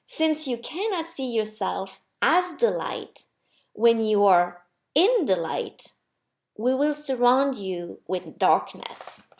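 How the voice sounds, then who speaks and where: Woman voicing a gentle, wise God, expressive and unhurried. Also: on the high side, full character voice. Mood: reassuring. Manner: patient, explanatory, reassuring. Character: gentle, wise, amused God, patient and reassuring. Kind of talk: storybook character voice.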